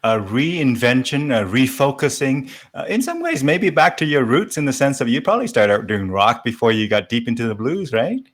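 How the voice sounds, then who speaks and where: A man hosting a casual interview, speaking politely in halting phrases as he leads the guest along. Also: medium pitch, very animated. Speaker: host.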